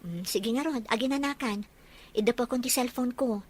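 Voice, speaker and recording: A woman's voice: a little high, moderate pace, breathy edge. A woman playing an understanding, caring young girlfriend in an Ilocano audio drama.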